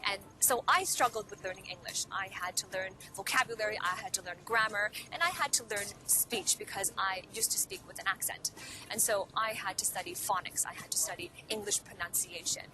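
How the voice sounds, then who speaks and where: A woman's voice: medium pitch, brisk, low-quality recording. A woman from Hong Kong whose first language is Cantonese, teaching public speaking in an earnest motivational video lesson and asking the viewer many rhetorical questions. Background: background music.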